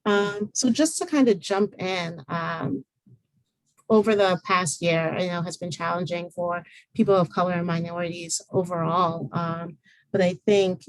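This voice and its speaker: A woman hosts an online panel, fairly low-voiced and friendly. She leans on fillers and restarts as she talks. The sound is dry and close. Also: steady tone, measured pace, no room sound, low-quality recording. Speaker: panel moderator. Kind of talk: casual online panel hosting.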